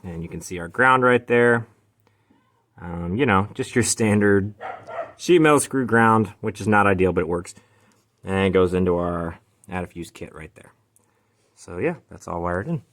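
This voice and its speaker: Low-pitched man explaining a hands-on job. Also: lively intonation, normal speed, pauses often, fairly bright tone. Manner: informal, talks while working. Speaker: host of a DIY garage vlog. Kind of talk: casual hands-on how-to explanation.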